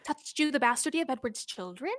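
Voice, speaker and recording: A woman's voice: high-pitched, lively intonation, quick pace, fairly bright tone, dry recording, clean studio sound. A student actress playing a scheming, wrathful male would-be king in a Shakespearean radio play, theatrical and commanding. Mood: impatient. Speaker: student actor.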